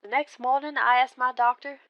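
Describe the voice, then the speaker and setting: A woman tells a scripted ghost story with deliberate, expressive delivery. Her voice sits a little high. The sound is very dry and somewhat dull, like a lo-fi close recording. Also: no echo. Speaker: storyteller calling in to a horror radio show. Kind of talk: dramatic scripted first-person ghost story narration.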